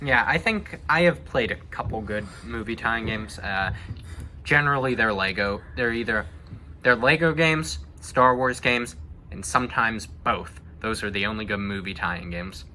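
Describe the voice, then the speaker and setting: A man's voice: lowish voice, normal speed, bright, forceful tone. A young man hosting a casual, conversational chat about games and movies. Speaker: host. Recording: clearly noisy background.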